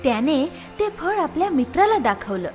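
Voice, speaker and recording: High, expressive woman storyteller. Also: normal speed, faint music behind. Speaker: narrator. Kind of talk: scripted children's story narration.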